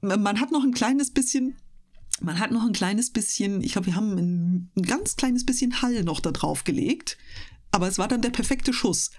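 A woman with a slightly husky voice, chatting casually as an interview guest. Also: mid-range voice, a little mellow. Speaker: interview guest, audiobook narrator and speaker. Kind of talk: casual chat.

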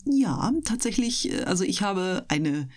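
A woman's voice: lowish voice, expressive, a little slow, darkish tone. A woman chatting casually and explaining things as a guest in a radio interview.

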